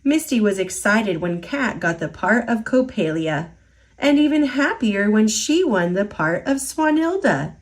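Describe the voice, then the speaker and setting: Woman storyteller for kids, slow and deliberate, lively rising and falling voice. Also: medium pitch. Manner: slow, expressive, sing-song storytelling. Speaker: storytime reader. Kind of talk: children's picture book read-aloud.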